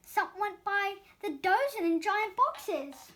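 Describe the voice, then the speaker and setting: A woman reading a children's storybook aloud as an expressive storyteller. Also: very high-pitched, slow, a little hiss or hum.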